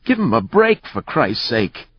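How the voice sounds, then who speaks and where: A man voicing an outraged older bar patron yelling in protest in an audiobook. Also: mid-range voice, big pitch swings, a little slow, husky, dull audio, pitched above the performer's own voice.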